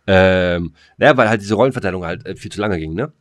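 A man's voice: low-pitched, no room sound, clean studio sound. A German man co-hosting a podcast in casual discussion, often stammering and repeating words as he makes his point. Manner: halting, stammers and repeats words while arguing. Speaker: podcast co-host.

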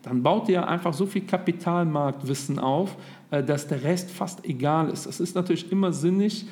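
A man in his thirties co-hosting a casual podcast, talking loosely and filling pauses with lots of hesitations. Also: fairly high pitch, a little mellow. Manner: chatty, with many hesitations. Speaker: podcast co-host.

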